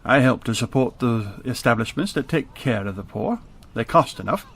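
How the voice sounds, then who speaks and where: An actor playing a grumpy, miserly old Victorian business owner in a radio drama, curt, scolding and scornful. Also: male voice, medium pitch, expressive, moderate pace, creaky, fairly bright tone, breathy edge, heavily acted. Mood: cold, dismissive.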